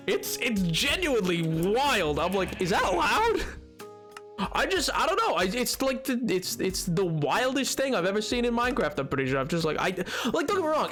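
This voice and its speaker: A young man with a high, lively voice talks loosely to his stream chat while playing. He rambles, restarts his sentences and swings his pitch up and down a lot. Soft music plays behind him. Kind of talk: casual gaming stream chat.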